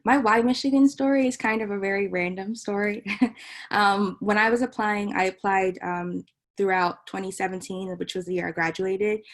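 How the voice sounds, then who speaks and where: Young woman med student, chatty, mid pitch, on a video call. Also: fairly even intonation, normal speed. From born in Cameroon, raised in Maryland. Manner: chatty, laughs easily. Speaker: medical student panelist. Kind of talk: casual storytelling.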